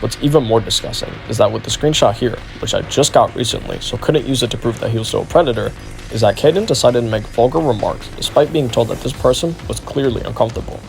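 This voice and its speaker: A man reads a scripted exposé as a video narrator. He moves quickly through his sentences with a creaky, fried edge to his voice, and soft music plays underneath. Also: medium pitch.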